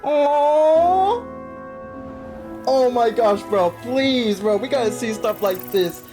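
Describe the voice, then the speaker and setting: A casual game streamer reacts in an emotive, exclamatory and slangy way. The delivery is slow and drawn out with big swings in pitch, and music plays behind it. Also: lively intonation. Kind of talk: casual gameplay reaction commentary.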